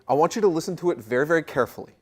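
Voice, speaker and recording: A tech video host with a medium-pitched voice talks in an excited, colloquial way. The delivery is emphatic, enthusiastic commentary addressed straight to viewers. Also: very fast.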